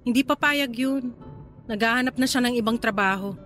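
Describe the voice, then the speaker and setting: A woman's voice: a little high, fairly quick, a bit bright, faint music behind, natural voice. A Filipino woman acting a guarded, evasive partner with a secret, in radio drama dialogue. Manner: hesitant, deflecting.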